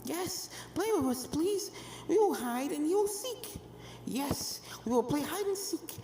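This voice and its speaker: A woman's voice: high-pitched, animated, measured pace, dark tone, a little hiss or hum, voice pushed up. A woman voicing a pair of playful little ghost colts, five-year-old boys who echo each other in a childlike sing-song for a story reading. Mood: eerily playful. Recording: music behind the voice.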